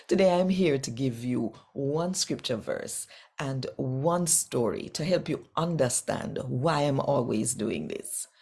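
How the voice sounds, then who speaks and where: Jamaican lady telling her faith story real slow and earnest, very low husky voice. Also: lively intonation, darkish tone. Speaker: Bible reader sharing testimony. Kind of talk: personal faith testimony to camera.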